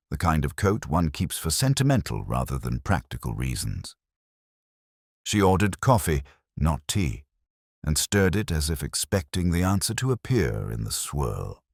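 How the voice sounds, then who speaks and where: A man with an extremely low voice narrates as a world-weary, middle-aged detective inspector. His delivery is reflective and literary, with wide melodic swings in pitch. The sound is dry and close, suited to an audio drama. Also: sing-song, creaky, dry recording. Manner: literary, measured, reflective, wry. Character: weary, introspective male English police inspector, middle-aged.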